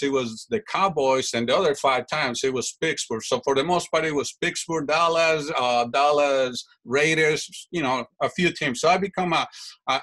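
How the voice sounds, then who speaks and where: A man's voice: medium pitch, pushed and bright. Brief: middle-aged man, chatty, self-correcting guest. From Mexico. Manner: rambling, self-correcting storyteller. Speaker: guest fan. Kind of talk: casual interview answers.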